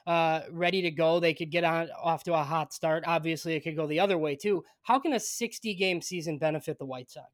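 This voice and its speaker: Higher-pitched man hosting casual sports chat. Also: fairly quick, hardly any pauses, no room sound, clean studio sound. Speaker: podcast host, interviewer.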